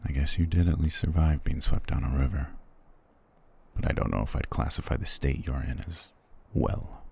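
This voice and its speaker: A man playing a cruel, proud, weary soldier prince in an ASMR fantasy roleplay, curt and condescending, with a gravelly, husky voice. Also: extremely low pitch, moderate pace, mellow, full character voice. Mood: cold, scornful. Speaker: voice actor in audio roleplay.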